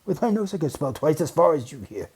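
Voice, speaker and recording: A big, gruff storybook bear, acted out by a man reading aloud. He growls and brags with stubborn pride. Every challenge gets a snort or a sneer. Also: on the high side, sing-song, fast, darkish tone, a little hiss or hum, voice pushed up. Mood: bragging. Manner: bragging, snorting, grunting. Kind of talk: children's storybook character voice.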